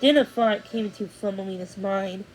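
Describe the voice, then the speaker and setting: A woman's voice: medium pitch, moderate pace, faint music behind, rough recording. A woman narrating a children's storybook as a read-along storyteller.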